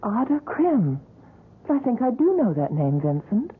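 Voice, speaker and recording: An actress playing a gentle woman who coaxes her troubled companion to open up, asking soft questions in a radio drama. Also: fairly high pitch, big pitch swings, a little slow, slightly rough, heavily acted. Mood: coaxing. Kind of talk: 1970s radio drama acting. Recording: tinny old radio broadcast, faint music.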